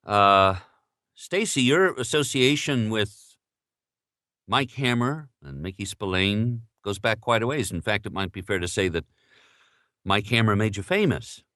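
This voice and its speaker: A man hosts an interview in a fairly low voice with a bright, forceful edge. His phrasing is measured and a little hesitant as he introduces and asks. The recording is dry and close. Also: animated, no room sound. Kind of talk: interview questions and scripted-sounding introduction.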